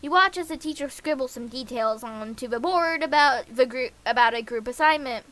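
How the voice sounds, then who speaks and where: A woman's voice: high voice, normal speed, fairly bright tone. A woman streaming a game, reading visual novel dialogue aloud in a casual, playful way.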